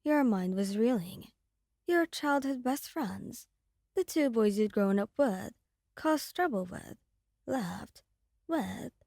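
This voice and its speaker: A woman narrates a scripted dramatic ASMR roleplay as its storyteller. Her delivery is slow and her tone mellow, with a very dry recorded sound. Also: a little high, lively intonation, vocal fry, no room sound.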